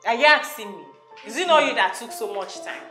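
A woman's voice: fairly high pitch, lively intonation, a little fast, over music, lightly acted. A woman acting as a hungry friend in a comedy skit, pleading insistently for peace in a Nigerian accent and repeating herself. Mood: impatient.

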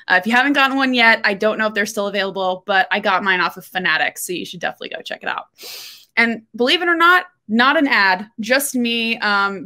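Bright, chatty young woman podcaster. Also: mid-range voice. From Texas. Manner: chatty, candid, quick asides. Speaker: golf podcast co-host. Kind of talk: casual solo talk to camera. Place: room at home.

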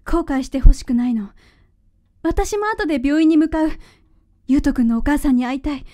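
A woman acting in a radio drama as a gentle, sincere young girlfriend. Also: sits high, normal speed, natural voice. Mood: concerned. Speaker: voice actor.

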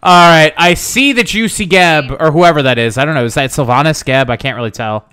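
A joking male co-host riffs through a casual game stream. His voice is high and bright, with a smooth, clear tone. He swings up and down freely as he talks. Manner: joking, playful, quick to riff. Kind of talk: casual gaming stream banter.

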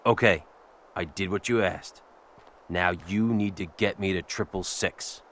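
An actor playing a tough, terse biker hero in his thirties with an American accent, deadpan and threatening, in a video game. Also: deep voice, measured pace. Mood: impatient, threatening. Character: tough brooding vengeful biker hero, curt and menacing. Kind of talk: video game cutscene acting.

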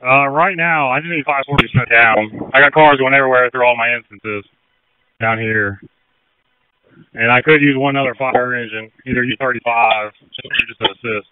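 A man's voice: mid-range voice, fairly even intonation, moderate pace. Male rescue responder on thin, noisy radio. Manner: direct, businesslike. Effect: radio transmission. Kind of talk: operational radio traffic. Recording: noisy, thin narrow-band two-way radio.

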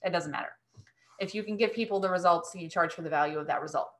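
A woman's voice: fairly low pitch, fast talker, fairly bright tone. A woman in her thirties, a business coach casually answering questions as host of an online webinar.

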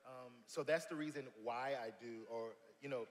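Earnest worship leader in a big hall. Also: male voice, mid-range voice, moderate pace. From South Carolina. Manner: earnest, many fillers and restarts. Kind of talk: casual interview chat. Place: church stage, large hall. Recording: long hall reverb, faint noise.

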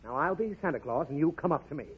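A man's voice: on the high side, animated, quick pace, husky. An actor playing an exasperated, coaxing father of a naughty little girl in a 1930s radio comedy. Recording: muffled, noisy 1930s radio recording.

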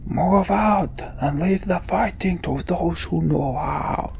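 A man voicing a gruff, commanding warrior leader in a video game, blunt and forceful. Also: high-pitched, slow, slight background noise, pitched above the performer's own voice, heavily acted. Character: gruff commanding male warrior leading a death march.

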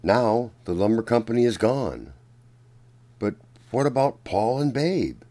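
A man reading a children's picture book aloud as a storyteller, in a deliberate, dramatic manner. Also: mid-range voice, lively intonation, mellow. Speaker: children's storyteller and channel host.

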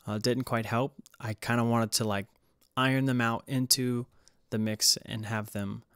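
Man, casual tutorial narration, hesitant informal delivery, mid-pitched, very dry close recording. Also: fairly even intonation, a little slow, a bit bright, clear, resonant tone, no room sound. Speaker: music production tutorial presenter.

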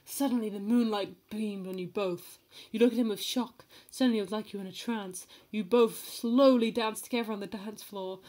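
A woman's voice: medium pitch, steady tone, normal speed, darkish tone. A woman giving a comedic, mock-dramatic reading of fanfiction, playful and giggly, breaking off to comment. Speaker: fanfiction reader.

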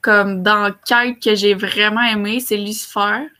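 A woman's voice: medium pitch, fairly even intonation, a little slow, bright, forceful tone. A young adult woman with a Québécois French accent, chatting casually on a video call from home. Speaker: talk show panelist. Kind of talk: casual chat. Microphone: computer mic on a video call.

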